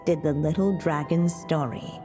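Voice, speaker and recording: A woman's voice: low-pitched, warm tone. A woman playing a stately, motherly storyteller witch in a video game, narrating formally and tenderly. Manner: measured, formal, literary. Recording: long reverb over music.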